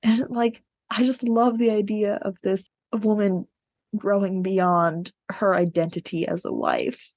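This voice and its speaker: A woman's voice: mid-range voice, little pitch movement, measured pace, darkish tone, no echo, studio quality. A woman co-hosting a book discussion podcast, chatting casually and arguing her opinions insistently. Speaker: podcast co-host.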